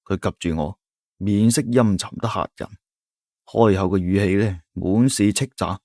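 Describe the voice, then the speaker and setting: Male narrator, Cantonese accent, low and animated, dramatic folk-tale reading, dry recording. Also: normal speed, no echo. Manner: dramatic, suspenseful storytelling. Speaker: storyteller. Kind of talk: scripted audio-drama narration.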